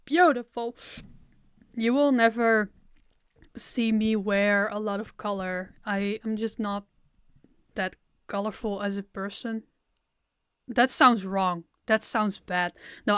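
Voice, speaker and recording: Young woman, chatty and self-deprecating, smooth clear voice, dry sound. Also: medium pitch, no echo. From Netherlands. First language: Dutch. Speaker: audio creator streaming a Q&A. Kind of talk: casual livestream Q&A.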